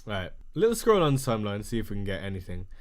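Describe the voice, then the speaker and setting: A man with a thick Irish accent hosting a casual fantasy football live stream, reading viewer messages aloud and answering each one quickly. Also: lowish voice, sing-song.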